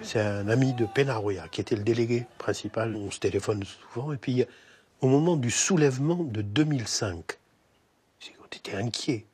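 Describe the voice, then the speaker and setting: An older man giving interview testimony, halting with many fillers. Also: mid-range voice, a little mellow, a little hiss or hum. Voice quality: husky.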